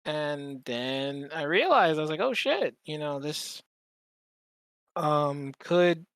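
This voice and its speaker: A man hosting a podcast in casual conversation, speaking informally and a little haltingly. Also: fairly high pitch, sing-song, fairly bright tone, no room sound. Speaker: podcast host.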